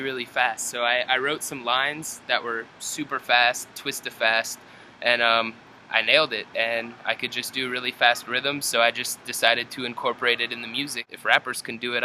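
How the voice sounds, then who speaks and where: Very bright-voiced young man, casual and slangy. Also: medium pitch, a little hiss or hum, rough recording. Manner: laid-back slangy talk with swearing. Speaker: metal band vocalist answering fan questions. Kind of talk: casual vlog chat. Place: indoors at home.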